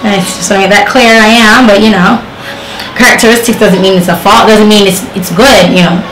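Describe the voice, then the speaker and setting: A young woman from Jamaica chats casually to her camera, rambling and restarting with plenty of fillers. Her voice sits at an everyday pitch. The room is echoey, with a faint hiss behind her. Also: fairly even intonation, a little fast, a bit bright. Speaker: beauty vlogger reviewing hair.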